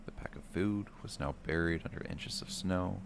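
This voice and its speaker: A man with an extremely low voice reads a fantasy bedtime tale. His delivery is measured and dramatic, like a storyteller setting a grim scene. There is some background noise under the voice. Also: gravelly. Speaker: storyteller, narrator. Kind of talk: first-person bedtime fantasy story reading.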